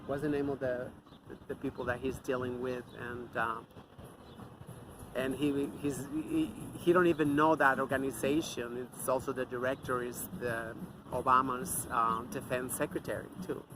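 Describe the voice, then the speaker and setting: A man's voice: mid-range voice, level tone, normal speed, noisy background. Angry man ranting outdoors. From Mexico. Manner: angry, profane, scornful. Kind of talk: off-the-cuff ranting monologue.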